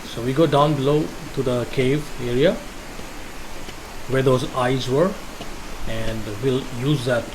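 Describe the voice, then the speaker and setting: A man's voice: medium pitch, steady tone, takes it slowly, pauses often, noisy background. A man hosting a casual game walkthrough, guiding the viewer step by step and often repeating himself. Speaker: gameplay walkthrough host.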